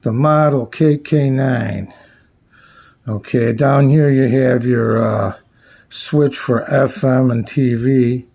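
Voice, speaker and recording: A man giving a casual, unscripted product walkthrough as a reviewer, plainspoken and halting as he thinks aloud. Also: medium pitch, fairly even intonation, warm tone. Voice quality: gravelly, husky. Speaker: radio reviewer presenting his channel.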